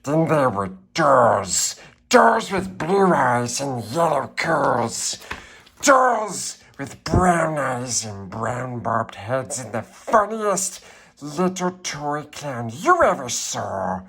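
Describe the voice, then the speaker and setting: A small wretched creature, reads a storybook in a raspy, strangled voice. The pitch lurches wildly, and the slow, hissing delivery turns fawning and peevish in muttered asides. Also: male voice, big pitch swings, fairly bright tone, exaggerated voice. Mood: gleeful, savoring. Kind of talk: character reading of a children's storybook.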